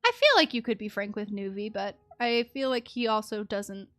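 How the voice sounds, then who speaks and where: A woman's voice: medium pitch, moderate pace, fairly bright tone. A woman game streamer giving casual live reactions, with playful and sympathetic asides.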